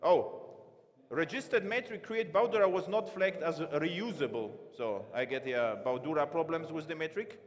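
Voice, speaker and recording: A man giving a live-coding conference talk from a large stage, halting and correcting himself as he types. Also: on the high side. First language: not Romanian. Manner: halting, self-correcting, thinks aloud while typing. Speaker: conference speaker. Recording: long reverb of a big hall.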